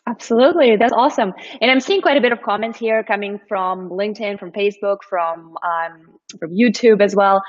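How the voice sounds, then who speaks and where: A woman from Moscow, Russia, hosting a live-stream interview in an upbeat, encouraging way with many fillers. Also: mid-range voice. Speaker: host. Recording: dull lo-fi stream audio.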